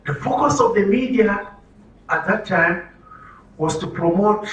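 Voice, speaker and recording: A man's voice: sits high, measured pace, a bit bright. A man with a Nigerian accent giving an interactive public talk, emphatically posing questions and answering them as a presenter. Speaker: presenter at a health orientation for media. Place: room with an audience. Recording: roomy, distant mic, faint noise.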